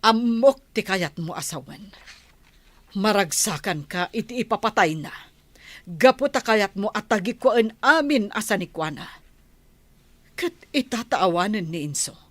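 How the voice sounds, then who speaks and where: A woman acts a scolding village woman in a radio drama. She sounds reproachful and pleading, in a medium-pitched voice recorded dry. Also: animated, a little slow, long pauses, dry recording. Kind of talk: Ilocano radio drama acting.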